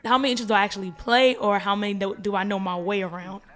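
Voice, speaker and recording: A woman's voice: medium pitch, racing, fairly bright tone. A young woman with family from Trinidad, chatting as a music guest on a show, laughing often. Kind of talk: casual conversation.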